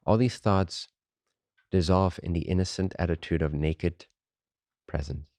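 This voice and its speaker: A man leading a guided meditation as a teacher, unhurried and informal, speaking in short phrases with pauses between them. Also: low-pitched, darkish tone, dry recording, studio quality.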